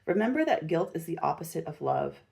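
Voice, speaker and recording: Woman doing a chatty tarot reading, low kinda husky voice, gentle and encouraging, super informal. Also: big pitch swings, brisk. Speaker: tarot reader and channel host.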